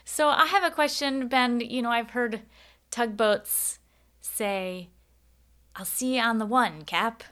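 A woman's voice: on the high side, takes it slowly, pushed and bright, clean tone. A woman hosting a podcast, talking in a casual, engaged, conversational storytelling way. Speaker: podcast host, interviewer.

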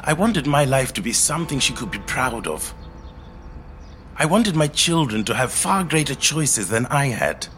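An actor playing a reflective middle-aged Zimbabwean man telling his life story in a measured, earnest monologue. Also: medium pitch, sing-song, a bit bright, slight background noise. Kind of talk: scripted autobiographical monologue, storytelling.

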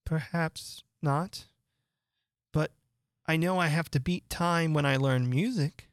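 A woman's voice: sits low, slow pace, no echo, studio quality, voice pushed up. A woman voicing a polite, curious young girl who speaks earnestly. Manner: earnest, wondering.

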